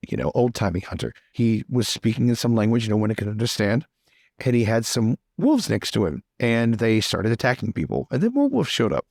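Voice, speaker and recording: A man playing a chatty, excitable lord in an improvised roleplay, talking in a rambling, theatrical and emphatic way. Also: medium pitch, a little fast, creaky, no echo, studio quality. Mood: excited storytelling.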